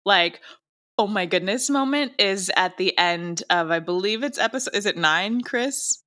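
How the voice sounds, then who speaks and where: A woman's voice: medium pitch, normal speed. A woman answering interview questions as an audio drama creator, talking in an enthusiastic, gushing and emphatic way. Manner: gushing, emphatic, animated wording.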